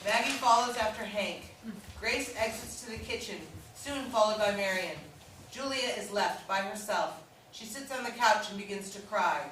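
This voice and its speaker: A woman's voice: mid-range voice, normal speed, a bit bright. A woman giving scripted narration, reading out stage directions at a staged reading. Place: indoor staged reading, recorded from far off. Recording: echoey hall, distant mic, faint noise.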